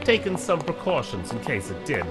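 A man's voice: a little high, big pitch swings, normal speed. A proud middle-aged scientist in an audio drama. His indignant, clipped voice rises and falls animatedly. Music plays underneath.

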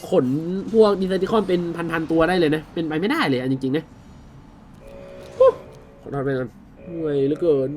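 A man with a high-pitched voice gives casual commentary on a video game. He exclaims often, uses crude slang and talks straight to his viewers. Game music plays behind him. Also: expressive, moderate pace, pauses often, a little mellow. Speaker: gaming video host. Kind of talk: casual game commentary with loosely dubbed dialogue.

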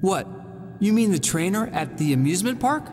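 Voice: mid-range voice, animated, normal speed, darkish tone, close to an everyday voice. An actor playing a brave, hot-headed young hero boy in a video game cutscene, speaking in an earnest, emphatic way. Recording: long reverb over game music.

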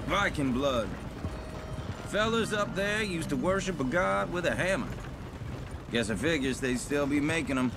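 An actor playing a talkative, self-assured American hired gun in an Old West video game, chatty, boastful and folksy. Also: male voice, fairly high pitch, expressive, moderate pace, pauses often, background noise. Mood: folksy reassurance. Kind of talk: cinematic video game dialogue.

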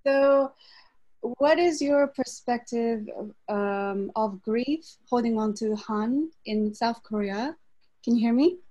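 A woman with a fairly high, very mellow voice asks a question on a video call. She speaks deliberately, laying it out phrase by phrase. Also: low-quality recording. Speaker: audience member asking a question. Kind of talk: spoken question at an online talk.

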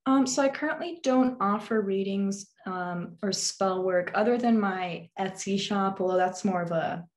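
A teenage woman on a video call, answering interview questions thoughtfully with many pauses and ums. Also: mid-range voice, darkish tone. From England, also Turkey, Azerbaijan and Alaska. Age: teens. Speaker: guest, witchcraft YouTuber. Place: small bedroom. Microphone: video call webcam.

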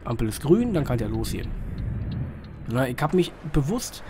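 A man with a slightly hoarse, mid-range voice chats his way through a driving game. He rambles colloquially and puts small questions to himself. Vehicle engine noise runs behind him. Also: medium pitch, sing-song, moderate pace. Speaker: gaming video host. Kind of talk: casual chatty driving-game commentary. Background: truck simulator engine sound.

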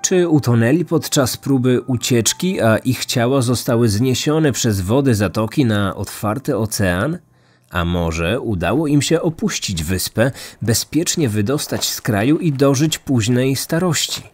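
A man's voice: mid-range voice, lively intonation, measured pace, darkish tone, breathy edge. A man in his thirties reading scripted true-crime narration as a podcast host. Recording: music under the voice. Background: background music.

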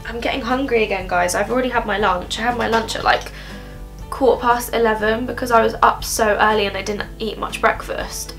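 A woman's voice: mid-range voice, creaky, fairly bright tone. A young woman chatting through a makeup tutorial in her bedroom, rambling casually with lots of "um", a little nasal from a cold. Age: young adult. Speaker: beauty vlogger. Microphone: camera in front at close range.

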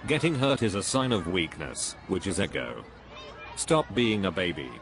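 A man's voice: low-pitched, sing-song, moderate pace, crowd noise. A man playing a pompous, dogmatic spiritual guru in a scripted cartoon dialogue, preachy and dismissive. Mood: condescending, preaching.

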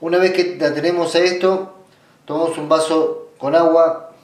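A man with an Argentine Spanish accent giving an informal step-by-step demonstration in a halting way, often rephrasing himself. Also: fairly high pitch, flat delivery. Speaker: ritual practitioner giving a tutorial. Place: small indoor room at a table. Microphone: camera mic away from the speaker. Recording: roomy sound, distant microphone.

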